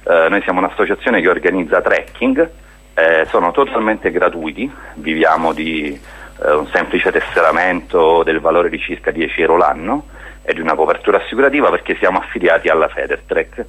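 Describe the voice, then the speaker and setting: A man's voice: low voice, brisk, a bit bright, breathy edge. A man speaking as a guest in a radio interview, explaining a project in an earnest way. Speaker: guest, spokesman of a hiking association.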